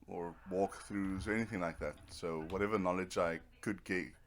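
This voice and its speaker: A man's voice: deep voice, steady tone, moderate pace, slight background noise. A man giving casual, rambling commentary over a video game, with a dry, self-deprecating sense of humor. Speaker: video game let's player.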